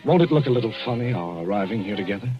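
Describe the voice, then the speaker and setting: An earnest young doctor talks in a low, hesitant voice, as a man acts him out. Music plays clearly under the dull vintage radio sound. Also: animated, racing, natural voice. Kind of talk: 1940s radio acting.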